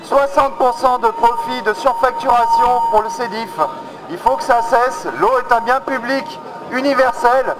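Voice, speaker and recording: A man's voice: very high voice, steady tone, measured pace, over a noisy crowd, rough recording. A man giving an emphatic, demanding militant speech as a protester at a public meeting. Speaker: protester addressing a council meeting.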